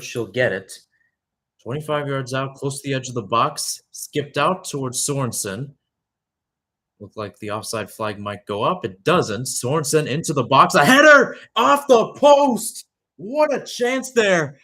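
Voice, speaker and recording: A man's voice: medium pitch, fairly bright tone. A young man giving live radio-style soccer commentary, mixing clipped calls of the play with conversational asides. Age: young adult.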